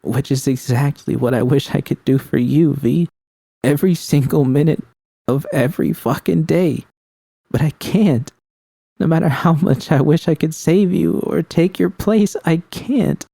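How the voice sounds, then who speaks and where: A man's voice: fairly high pitch, measured pace, vocal fry, dark tone, no room sound, voice pushed up. A man voicing a tender, distraught young woman who speaks hesitantly and pleadingly in a fan fiction story. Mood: anguished. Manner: pleading, hesitant, swearing emotionally. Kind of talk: audio fan fiction dialogue.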